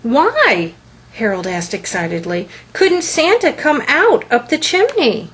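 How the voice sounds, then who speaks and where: A woman reading a children's picture book aloud as a storyteller, with deliberate, expressive phrasing. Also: fairly high pitch, husky.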